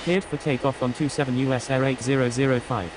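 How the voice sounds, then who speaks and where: Simulator radio role, played by a man: rapid, clipped, procedural and businesslike, over noticeable noise. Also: fairly even intonation, natural voice. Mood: brisk. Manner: formulaic, businesslike. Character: brisk male aviation radio operator in game. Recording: noisy game capture, outdoor vehicle rumble.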